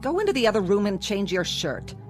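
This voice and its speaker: A cruel middle-aged American wife, acted by a woman who nags and domineers with icy mock politeness. Her mid-range voice swings widely in pitch as she delivers cutting lines, in a radio drama with clear music underneath. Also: very animated, fairly quick, natural voice. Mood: bossy, irritated. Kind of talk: scripted radio drama acting.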